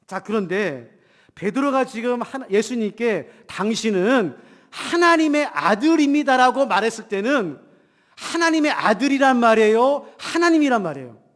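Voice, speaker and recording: A man preaching a church sermon as pastor with a cold, emphatic, swinging from loud declarations to hushed asides and putting questions to the congregation. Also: very high voice, sing-song, normal speed. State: has a cold. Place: church hall during a service. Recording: long hall reverb in church.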